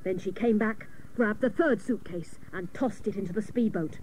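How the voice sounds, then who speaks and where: An actress playing a hapless, literal-minded female private detective, narrating a comic radio serial with mock-dramatic seriousness and deadpan jokes. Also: mid-range voice, moderate pace, lightly acted. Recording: noisy old cassette of radio broadcast.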